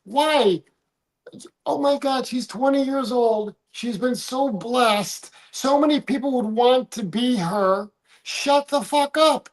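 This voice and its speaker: A man's voice: very high-pitched, slow pace, low-quality recording. A man from the United States co-hosting a casual livestream, rambling, trailing off and restarting his sentences. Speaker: livestream co-host.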